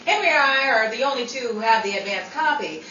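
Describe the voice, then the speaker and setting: A woman playing a breezy, quick-witted, playful radio actress who teases her friend in a 1940s-style radio comedy. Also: a little high, animated, bright, forceful tone, recorded from a distance, muffled recording. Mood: breezy, sly. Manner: playful, theatrical. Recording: noisy, echoey room, off the mic.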